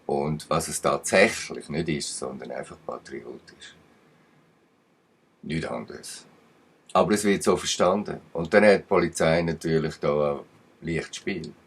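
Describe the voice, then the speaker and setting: A man's voice: very deep voice, measured pace, breathy edge. A man with a Swiss German accent giving rambling commentary, emphatic and digressive, with uneven bursts. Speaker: channel commentator.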